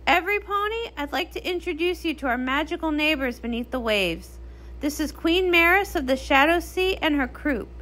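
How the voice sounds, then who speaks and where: Woman doing a high, perky pony princess. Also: animated, moderate pace, bright, forceful tone. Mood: showy. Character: bubbly young pony pop princess, bossy, easily flustered. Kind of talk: comic read-along character acting.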